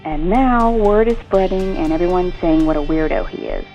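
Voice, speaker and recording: An actress playing a gossipy hospital nurse in an audio soap opera, confiding and tentative in manner. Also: female voice, lowish voice, moderate pace, dark tone, lo-fi sound, soft background music, natural voice. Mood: confiding, wry.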